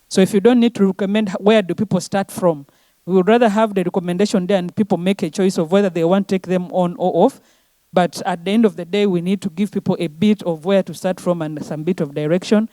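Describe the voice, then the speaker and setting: A woman's voice: fairly low pitch, no echo. A woman giving an earnest conference presentation, often restarting her phrases. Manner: earnest, steady delivery with frequent restarts.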